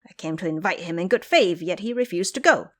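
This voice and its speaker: A woman's voice: lowish voice, lively intonation, brisk, natural voice. A woman voicing a simple-minded, gluttonous male pig monk in an audio drama, earnest and whiny. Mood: indignant grumbling.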